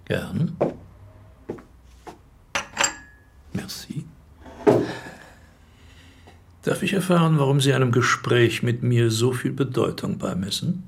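A man playing a guarded, worldly bank director in a radio drama, with a creaky voice and polished, formal delivery. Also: mid-range voice, moderate pace, a little hiss or hum, close to an everyday voice. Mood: guarded, aloof.

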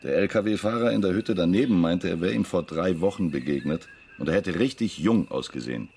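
A deep-voiced police investigator in a radio play. He sounds wry and matter-of-fact, with faint outdoor noise behind him. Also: normal speed, a little mellow. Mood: businesslike. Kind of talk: naturalistic radio drama dialogue.

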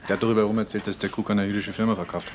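An actor playing a dry, scornful gossiping colleague in a German radio drama. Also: male voice, on the low side, level tone, racing, noisy background, close to an everyday voice.

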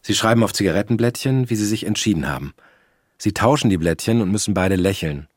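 A man narrating a radio drama about his family in a calm, matter-of-fact way. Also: fairly low pitch, fast, no echo, studio quality. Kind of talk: scripted narration.

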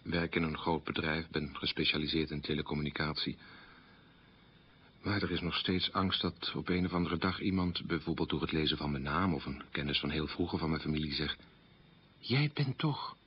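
Troubled middle aged guy, low kinda husky voice, sober careful radio monologue, muffled lo-fi sound. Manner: measured, articulate, sober. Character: troubled man burdened by his family's collaborationist past. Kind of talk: radio documentary-drama monologue, confessional. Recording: dull, lo-fi radio recording.

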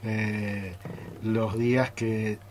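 A man's voice: lowish voice, level tone, very slow, slight background noise. An Argentine man chatting informally and jokingly as part of a group interview in a radio studio. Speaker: radio theatre group member. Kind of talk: casual group interview chat.

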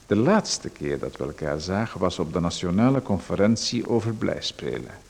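An actor voices a sinister visitor in a radio play who knows far too much about the man he is calling on. His voice sits somewhat low, and he speaks calmly and with insinuation. He turns polite questions aside and never answers them straight. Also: moderate pace, vocal fry, exaggerated voice. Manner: calm, insinuating, politely evasive. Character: sinister, overly familiar male visitor who watches a playwright.

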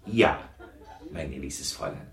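Radio drama: placating male provincial pastor, deep, animated, echoey room with crowd behind. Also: a little slow, vocal fry, heavily acted. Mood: appeasing. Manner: placating, formal. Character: deferential, appeasing provincial pastor. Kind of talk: German radio drama acting. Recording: roomy, busy dinner-party chatter. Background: crowd chatter.

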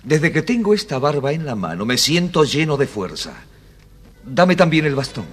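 An actor playing a clever, self-assured young flute player in an audio fairy tale, with theatrical, commanding delivery. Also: male voice, a little high, sing-song, moderate pace. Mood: confident, bargaining. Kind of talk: audio storybook acting.

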